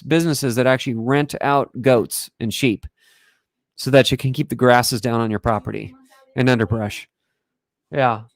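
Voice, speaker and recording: Hoarse man rambling on a livestream. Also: medium pitch, dry recording, clean studio sound. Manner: rambling, halting, often clears throat. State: hoarse from breathing smoke. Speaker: livestream host. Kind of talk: casual livestream talk. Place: home in wildfire country.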